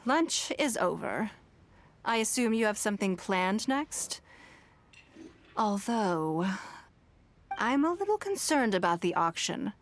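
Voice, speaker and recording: A woman voicing a composed, shrewd, wealthy business lady in a video game, speaking in a measured, elegant, formal way. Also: mid-range voice. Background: background music.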